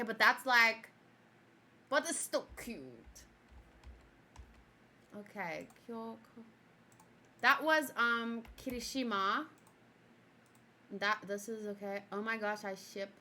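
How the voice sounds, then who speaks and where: A young woman whose first language is Afrikaans, chatting casually while reviewing an anime and reacting to her own old video, giggling and switching between loud outbursts and quiet muttering. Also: mid-range voice.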